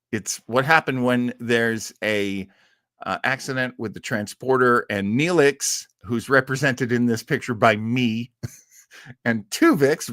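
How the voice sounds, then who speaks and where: Animated, jovial man hosting a livestream. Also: mid-range voice, no room sound, studio quality. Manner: jovial, rambling, chuckling, self-deprecating. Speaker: livestream host. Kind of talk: casual livestream chat, reading viewers' comments.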